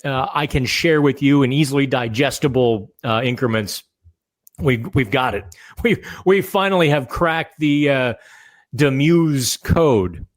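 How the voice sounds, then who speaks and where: Slightly raspy male podcast host, rambling casual delivery, higher-pitched voice. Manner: chatty, rambling with fillers and restarts. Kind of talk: casual solo podcast talk. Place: hotel room.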